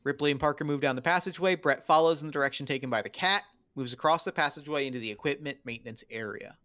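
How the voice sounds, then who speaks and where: A man's voice: a little high, very fast, bright, forceful tone, no echo. A man narrating stage directions at a script table read in terse, clipped, dramatic phrases.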